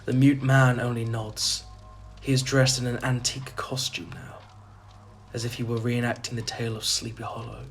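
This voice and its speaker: Man acting an uneasy gothic narrator, even pitch. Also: normal speed, soft background music, natural voice. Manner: hesitant, faltering, apprehensive. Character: uneasy male narrator drawn into a sinister festival. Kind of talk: first-person gothic horror audio drama narration.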